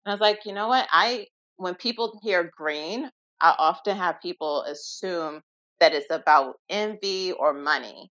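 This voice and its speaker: A woman's voice: fairly low pitch, a little slow, fairly bright tone. A woman around forty, a guest in a casual interview, talking in a reflective, earnest way with small restarts. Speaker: guest, minimalism business owner.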